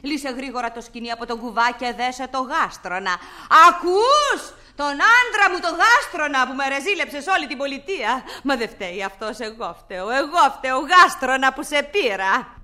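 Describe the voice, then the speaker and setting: A shrill, jealous, bossy wife. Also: female voice, lively intonation, bright, forceful tone. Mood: furious. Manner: scolding, mocking, commanding. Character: jealous, domineering rich wife. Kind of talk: radio drama acting.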